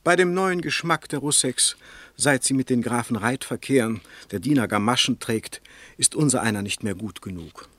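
A man's voice: mid-range voice, normal speed, fairly bright tone, dry recording, close to an everyday voice. An actor playing a scornful, calculating bourgeois businessman in a German radio play, with a sardonic, articulate delivery. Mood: mocking.

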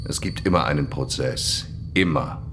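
An actor playing a cold, cynical Soviet state prosecutor, clipped and contemptuous. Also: male voice, rumbling low, normal speed, fairly bright tone, a little hiss or hum. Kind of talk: radio drama acting.

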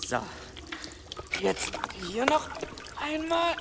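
A man's voice: extremely high pitch, big pitch swings, very slow, gravelly, full character voice. An actor playing a complaining, reckless boy in a radio play, sometimes straining with effort. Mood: eager, straining. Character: grumbling, reckless, thirsty boy from the seaside. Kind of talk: dramatic radio play acting. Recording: reverberant cave effect, noisy water sounds.

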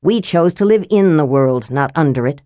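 A woman voicing a proud, angry old male dwarf in an audiobook, gruff and fierce, telling his story bluntly. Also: lively intonation, moderate pace, dark tone, dry recording, studio quality. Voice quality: gruff, deep. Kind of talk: audiobook character voice.

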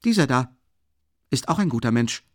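Audiobook role: erratic young man, played by a male narrator, voice pushed high, swooping, exclaiming, insistent and feverish. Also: expressive, a little slow, darkish tone. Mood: sudden eager praise.

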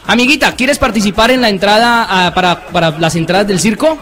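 Man host of a kids' call-in show, high voice, gushing and doting with children. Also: a little fast. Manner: warm, doting, exclamatory talk to children.

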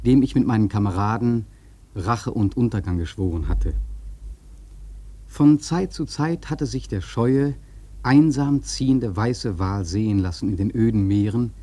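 An actor playing a haunted whaling sailor, narrating a literary radio play in a solemn, dramatic manner with deliberate phrasing. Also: male voice, mid-range voice, warm tone, breathy edge, dry recording. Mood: fervent confession.

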